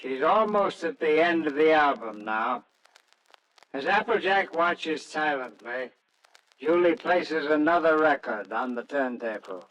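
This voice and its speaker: A man's voice: on the high side, expressive, unhurried, fairly bright tone, dull audio. A man narrating a sentimental Christmas radio play in a scripted storytelling style. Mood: measured storytelling. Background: music.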